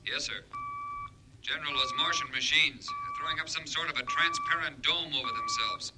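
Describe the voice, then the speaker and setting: An actor playing an alarmed military observer reporting in a 1950s radio drama. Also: male voice, fairly high pitch, wildly swooping pitch, normal speed. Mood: tense, alarmed. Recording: noisy 1950s radio broadcast recording.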